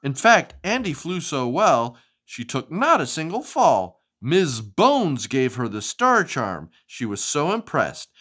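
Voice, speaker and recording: A low-voiced woman hosts a children's storytime with hugely animated delivery. Her reading is sing-song and expressive, and she turns to chat with a child, asking questions along the way. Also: slow pace, few pauses.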